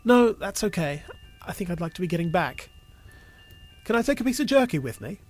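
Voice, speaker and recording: A man's voice: sits high, very animated, a little fast, faint music behind, pitched above the performer's own voice. A man voicing an earnest young man in an audio story, a little defiant and unsure of himself. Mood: earnest, modest. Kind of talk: audio story character acting.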